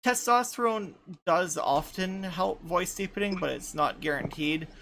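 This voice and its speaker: A woman in her thirties in casual group chat, light voice without chest resonance, talking haltingly with many restarts. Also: on the low side, creaky, a bit bright, a little hiss or hum. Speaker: podcast panelist.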